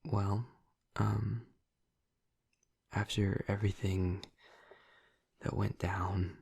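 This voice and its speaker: A man playing a gentle, earnest vampire boyfriend in an intimate audio roleplay, speaking softly and haltingly in a husky, breathy, hushed voice. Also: lowish voice, level tone, no echo, clean studio sound, exaggerated voice. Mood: earnest, resolute. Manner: halting, soft-spoken, confiding with long pauses. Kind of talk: intimate audio roleplay, ASMR-like soft delivery.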